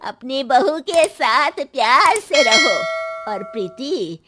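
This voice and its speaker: A woman acts out a stern old grandmother in an animated moral tale. Her voice sits very high and swings widely. She chides sharply and takes her time over every word. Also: very noisy, exaggerated voice. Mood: firm advice. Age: older. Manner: sharp, chiding, drawn-out delivery. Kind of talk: animated moral story acting.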